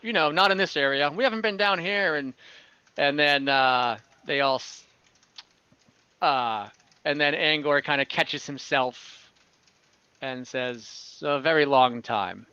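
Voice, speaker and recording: A man narrating an online tabletop game as game master, in a halting, informal way with chuckles. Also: fairly high pitch, sharp tone, clean tone, dry recording, dull audio. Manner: halting, chuckling, voices characters casually.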